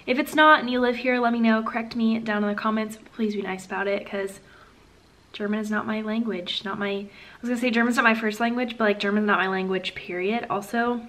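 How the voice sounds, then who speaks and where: A young woman talks easily to her camera, full of "like" and "y'all". Her voice sits a touch high. She speaks from a room at home into a camera on the desk. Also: fairly even intonation, fairly quick, a bit bright. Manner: chatty, easygoing, says "like" and "y'all". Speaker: vlogger. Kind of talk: casual vlog talk to camera. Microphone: camera on a desk at arm's length.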